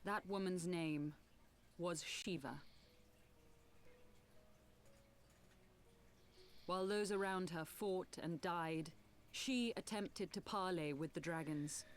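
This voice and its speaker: An actress playing a solemn noble rebel woman in a fantasy game, telling tragic history in formal, archaic language with measured delivery. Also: lowish voice, slight background noise, lightly acted. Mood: solemn storytelling. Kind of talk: theatrical fantasy game acting.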